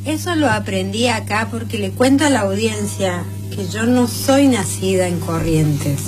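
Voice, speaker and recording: A woman from Córdoba co-hosting a radio show, speaking calmly and in a measured way. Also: medium pitch, over music. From Córdoba, Argentina. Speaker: radio co-host. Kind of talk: casual radio chat.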